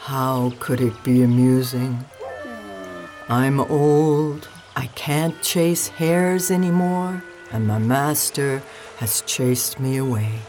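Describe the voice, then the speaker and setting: Casting: self-pitying old storybook dog, low and mournful performed by a woman. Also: steady tone, takes it slowly, music in the background. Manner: moaning, mournful.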